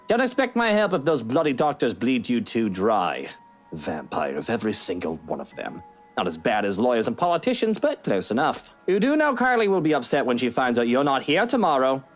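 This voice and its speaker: An actor playing an irritable, sarcastic and protective husband in a visual novel game, with a theatrical, complaining manner. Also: male voice, mid-range voice, wildly swooping pitch, normal speed. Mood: irritated, sarcastic. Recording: game music behind. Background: music.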